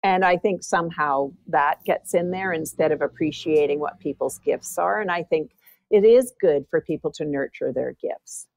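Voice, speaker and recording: A woman's voice: low voice, normal speed, creaky, a little mellow, dry recording, poor audio. A woman guest and former teacher with a big voice, telling personal stories in an interview. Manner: big voice, anecdotal storytelling. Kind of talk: interview storytelling.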